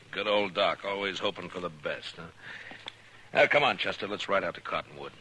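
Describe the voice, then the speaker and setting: Serious, weary frontier lawman male actor, dry and somewhat deep, for a 1950s radio western. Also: moderate pace, close to an everyday voice. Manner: terse, grave, dry. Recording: noisy 1950s radio recording.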